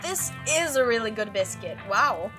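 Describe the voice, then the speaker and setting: High, expressive woman narrating a visual novel. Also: normal speed, low-quality recording. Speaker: gaming video host. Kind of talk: visual novel narration with casual play commentary. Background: game music under the voice.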